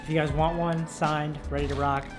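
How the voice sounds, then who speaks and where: A man's voice: a little high, little pitch movement, moderate pace. Chill young dude rambling at his desk, music going in the back. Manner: laid-back, rambling with many fillers. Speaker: vlogger. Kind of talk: casual vlog chat. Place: dim room at home, indoors. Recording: music playing behind.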